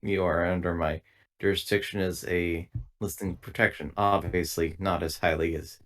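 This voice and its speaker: A man voicing a polite, dutiful female android in a tabletop game, speaking in formal, literal, measured phrases. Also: low-pitched, steady tone, voice pushed down, natural voice. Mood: calm, dutiful.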